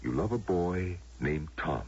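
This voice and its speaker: An actor playing a solemn, knowing man who grants wishes, gravelly and persuasive in a radio drama style. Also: sits low, lively intonation, a little mellow, full character voice. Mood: solemn, hypnotic. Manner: measured, grave, persuasive, theatrical. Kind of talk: American radio drama acting. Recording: muffled, lo-fi old radio broadcast.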